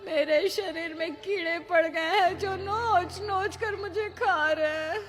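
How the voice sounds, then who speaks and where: Shrill, slow-wailing cartoon witch. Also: fairly even intonation, a bit bright, exaggerated voice. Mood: wailing, menacing. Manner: drawn-out, moaning, pleading delivery. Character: trapped, wailing, menacing female witch in a coffin. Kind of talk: Hindi horror cartoon voice acting. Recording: music playing under the voice.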